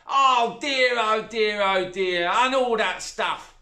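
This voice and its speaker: A man reading a storybook and voicing a loud, crude stepmother who talks with her mouth full. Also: very high-pitched, unhurried, voice pushed up, exaggerated voice. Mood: mock sorrow. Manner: loud, mock-sad, brash. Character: loud greedy crude stepmother, middle-aged woman. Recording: roomy echo.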